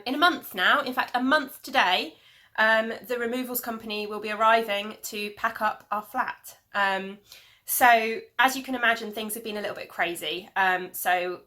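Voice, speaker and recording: A woman in her thirties talks casually to the camera at home, rambling and filling pauses with ums. Her voice sits a bit high and rings bright and clear, with a slight sniffle from hay fever. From England. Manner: rambling, chatty, many ums. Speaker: knitting podcast host. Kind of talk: casual video podcast chat.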